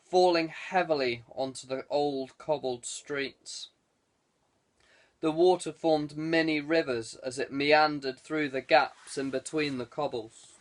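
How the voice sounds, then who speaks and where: A man narrating scripted fiction as an audiobook reader. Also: on the high side.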